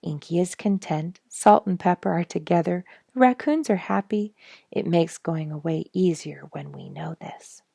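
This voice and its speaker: A wise, contented woman of the woods talks in a knowing, gently teasing way, as a woman storyteller reads her. Her storybook voice is fairly low, with an audible creak. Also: moderate pace, no room sound, clean studio sound.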